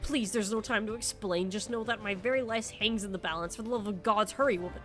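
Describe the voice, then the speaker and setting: A woman's voice: medium pitch, quick pace, a bit bright, soft background music, natural voice. A woman reading aloud the urgent, frantic lines of a desperate villager in a fantasy game.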